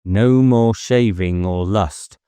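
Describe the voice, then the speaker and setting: Low-voiced man narrating a novel. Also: takes it slowly, dark tone, clear, resonant tone, dry recording. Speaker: audiobook narrator.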